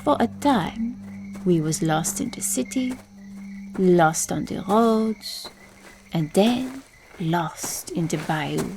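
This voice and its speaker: An actress plays a streetwise Cajun bayou woman in an audio drama. Her voice is low and creaky, with music underneath. She speaks in slow dialect like an incantation, superstitious and quietly menacing. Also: expressive. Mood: wistful, intense. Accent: Louisiana Cajun.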